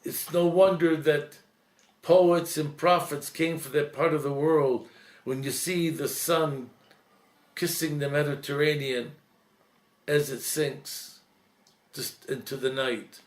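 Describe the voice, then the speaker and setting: A man, a priest, giving an earnest, reflective talk, slowing and softening at emotional points. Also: on the high side, fairly even intonation, echoey room. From Lebanese heritage.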